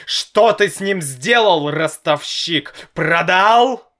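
A man voicing a nervous, hot-tempered father in a storybook reading, loud and exasperated. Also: fairly high pitch, very animated, takes it slowly, pitched above the performer's own voice, exaggerated voice. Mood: agitated.

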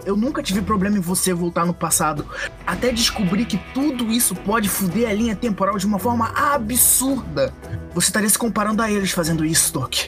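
Woman as a fast-talking, blunt companion. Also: medium pitch, lightly acted. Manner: argumentative, casual, emphatic. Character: Nao, blunt, reasoning cowboy companion from the future. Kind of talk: tabletop roleplay in character.

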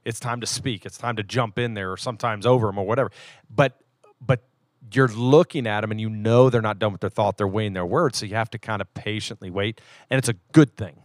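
A man with a moderately low voice co-hosts a casual podcast, recorded with very little room sound. He talks in a folksy, conversational way, restarting and repeating phrases as he goes. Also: a little fast, no room sound, clean studio sound. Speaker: podcast co-host.

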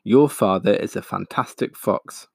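A man voicing a loving, tearful mother fox in a storybook reading, soft and pleading. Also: lowish voice, measured pace, gravelly, husky, dry recording, clean studio sound, heavily acted. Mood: tender.

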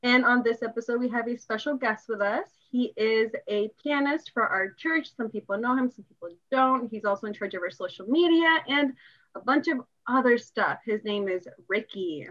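A woman hosting a church podcast, bubbly and teasing, quick to laugh as she asks questions. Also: a little high, a bit bright, lo-fi sound. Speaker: podcast host.

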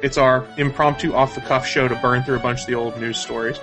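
A man's voice: medium pitch, steady tone, brisk, music in the background. A man hosting a casual, off-the-cuff podcast, chatting informally about news and plans. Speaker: podcast host.

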